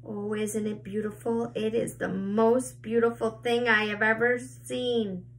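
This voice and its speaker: A woman voicing a small boy who murmurs quietly in wonder in a storybook reading. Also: fairly high pitch, little pitch movement, unhurried. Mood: awed. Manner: soft, murmuring. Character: awed gentle small boy.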